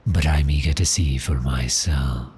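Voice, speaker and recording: A man voicing a suave, teasing vampire in an ASMR roleplay, speaking in a breathy, seductive half-whisper with polite menace. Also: extremely low pitch, a little slow, a little mellow, a little hiss or hum. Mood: teasing, menacing. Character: cheerful predatory male vampire, suave and teasing. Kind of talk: ASMR roleplay, slow intimate monologue.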